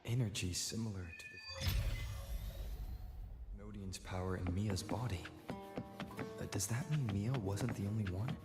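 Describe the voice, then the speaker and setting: An actor playing a serious, guarded young hero in a video game, speaking cautiously and earnestly. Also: male voice, lowish voice, unhurried, pauses often, natural voice. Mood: puzzled. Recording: loud music behind. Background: loud background music.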